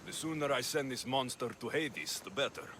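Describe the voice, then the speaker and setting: A man playing a gruff, blunt young Greek mercenary in a video game, terse and impatient with dry delivery. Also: medium pitch, animated, a little fast, noisy background, lightly acted. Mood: resolute. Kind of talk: video game cutscene acting. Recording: music under the voice.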